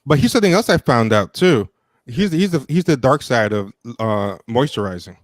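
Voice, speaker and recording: A man in his thirties chats on a livestream from a home studio, mocking himself and laughing between halting phrases. His voice sits at an ordinary pitch, close to the mic and very dry. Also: animated, no echo. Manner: self-deprecating, laughs often, trails off with fillers. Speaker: podcast co-host. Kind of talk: casual chat on a livestream. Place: home room with plants and posters. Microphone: studio mic with headset, on a video call.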